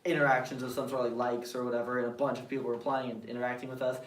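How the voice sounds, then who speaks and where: A man's voice: mid-range voice, flat delivery, very fast, recorded from a distance. Young american man, drummer, rambling casual chat, roomy room, set back from the mic. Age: 20s. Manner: chatty, rambling, quotes people. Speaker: band drummer, guest.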